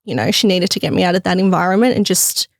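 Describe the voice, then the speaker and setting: A woman's voice: fairly low pitch, fast talker, creaky, dry recording, studio quality. A young woman with an Australian accent, a native Greek speaker, chatting casually as an interview guest. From Australia, Melbourne, with early childhood in Greece. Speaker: podcast guest, fashion label founder.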